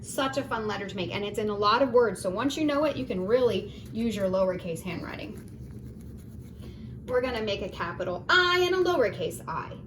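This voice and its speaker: A woman teaching a children's phonics lesson in a gentle, encouraging, singsong way, at home. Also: mid-range voice, expressive, fairly quick. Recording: roomy, distant, noisy home recording.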